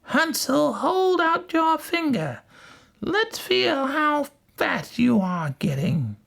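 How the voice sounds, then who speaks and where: A storyteller voicing a wicked old witch from a fairy tale, scolding and bossy, with shrill, drawn-out commands. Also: big pitch swings, vocal fry, fairly bright tone, heavily acted. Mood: coaxing, greedy.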